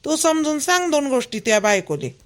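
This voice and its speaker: A woman's voice: sits high, big pitch swings, a little fast. A woman voicing a gossipy, fretting village mother in a cartoon, with a Vidarbha Marathi accent and chatty, emphatic, repetitive talk. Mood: fussing, fond. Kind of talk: homemade cartoon family dialogue.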